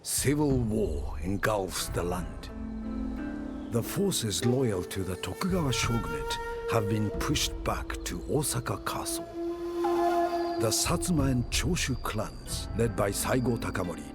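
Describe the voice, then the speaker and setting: An actor playing a grave war chronicler of feudal Japan, narrating game cinematics in a solemn, measured, theatrical way. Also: male voice, fairly low pitch, wildly swooping pitch, full character voice. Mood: grave, dramatic. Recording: loud music under narration. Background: cinematic music.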